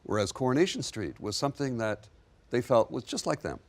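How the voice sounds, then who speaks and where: A man's voice: medium pitch, big pitch swings, fairly quick. A man speaking as a guest on a panel discussion, thoughtful as he weighs comparisons.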